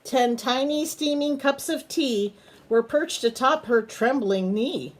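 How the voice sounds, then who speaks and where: Female storyteller for young children, slightly high pitch, very measured pace, coaxing question-asking manner. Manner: unhurried, asks simple coaxing questions. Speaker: storyteller reading a picture book aloud. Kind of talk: children's read-aloud with chatty asides to a bird.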